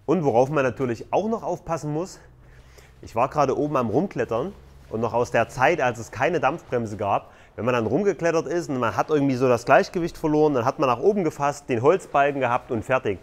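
A man's voice: mid-range voice, animated, a little fast. A man on a tiny house building site, explaining construction steps in a loose, conversational way. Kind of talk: casual explanatory vlog.

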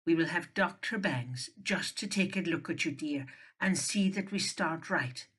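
An actress playing a kind, brisk older housekeeper in an audio drama, soothing and bustling. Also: female voice, fairly low pitch, fairly bright tone, husky. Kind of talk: amateur audio drama acting.

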